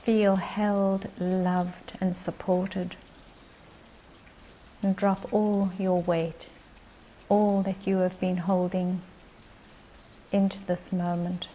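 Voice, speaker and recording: A woman leading a guided meditation as its guide, speaking in gentle, short phrases with long pauses. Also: on the low side, level tone, a little hiss or hum, rough recording.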